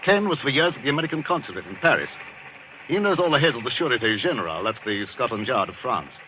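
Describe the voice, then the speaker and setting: A middle-aged man, a breezy lawyer in a 1940s radio mystery, with a bright voice that swings widely in pitch. He is avuncular, emphatic and very sure of himself, on a slightly noisy old recording. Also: sing-song, fast. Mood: assured. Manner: emphatic, avuncular, self-assured. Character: confident, breezy older lawyer, an avuncular debunker. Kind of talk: 1940s radio drama acting.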